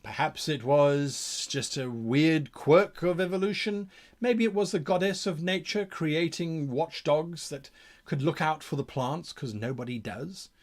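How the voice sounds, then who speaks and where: A man's voice: fairly high pitch, measured pace. A man hosting a game master advice show, explaining ideas to viewers with musing rhetorical questions and theatrical emphasis.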